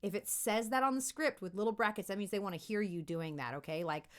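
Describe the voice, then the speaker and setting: A woman giving an upbeat, conversational lesson as a voice acting coach, animated and encouraging, often checking in with listeners. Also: mid-range voice, fast talker. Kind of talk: upbeat instructional talk to camera.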